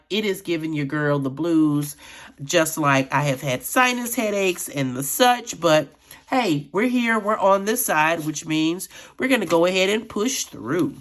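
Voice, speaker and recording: A middle-aged woman with a lowish voice talks casually to her viewers as friends. She sounds a little raspy from allergies and keeps up a chatty, easygoing patter. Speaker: budgeting vlogger. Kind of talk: casual chat while counting cash.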